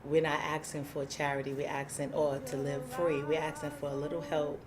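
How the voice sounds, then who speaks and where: A woman's voice: low-pitched, little pitch movement, normal speed, crowd noise. A woman, a community housing activist, telling her story candidly in an interview, emphatic and plain-spoken, acting out what people said.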